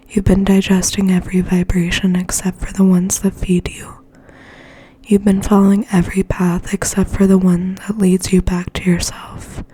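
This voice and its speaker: A woman whispering in a gentle, breathy, slow ASMR style, as if reading poetry aloud and sharing quiet thoughts. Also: medium pitch. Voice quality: breathy, mostly unvoiced whisper. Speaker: ASMR host and poetry reader.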